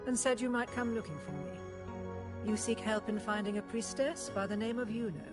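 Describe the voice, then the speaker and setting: An actress playing a stern, composed high priestess in a video game, speaking in formal, measured, deliberate phrases. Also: female voice, steady tone, close to an everyday voice. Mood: grave, measured. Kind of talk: scripted video game voice acting. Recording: game music under the voice. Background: music.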